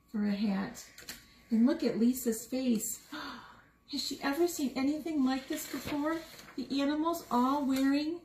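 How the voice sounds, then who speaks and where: High-pitched, animated woman reading a storybook. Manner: asks the young listeners questions, exclaims over pictures. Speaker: story time reader. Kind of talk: animated picture book read-aloud for young children. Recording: roomy echo with noticeable background noise.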